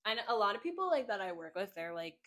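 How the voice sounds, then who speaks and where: A woman's voice: mid-range voice, sing-song, quick pace, fairly bright tone. A woman in her twenties co-hosting a podcast, blunt and casual, swearing now and then. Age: 20s. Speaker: podcast co-host. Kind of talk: casual chat.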